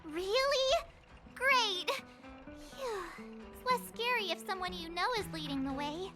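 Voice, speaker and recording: Very high, bubbly young girl. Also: unhurried. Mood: delighted, relieved. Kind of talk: video game voice acting. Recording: music clearly behind the voice.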